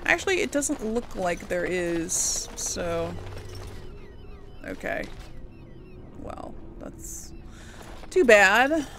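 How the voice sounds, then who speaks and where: A woman playing a game and commenting casually, excitable, talking to herself and her characters, sometimes answering in character. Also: medium pitch, very slow, pauses often, music in the background. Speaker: gamer playing and role-playing for an audience.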